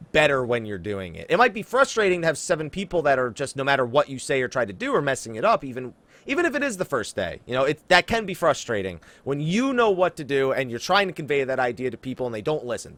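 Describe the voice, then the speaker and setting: A man's voice: mid-range voice, sing-song, fairly quick, a bit bright, no echo. A man hosting a gaming podcast, chatting casually and emphatically and reading out listener questions. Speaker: podcast host. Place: indoors, online voice call.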